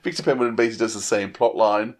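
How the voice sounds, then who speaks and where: A man's voice: on the low side, steady tone, racing. A man co-hosting a podcast, giving his views in casual discussion.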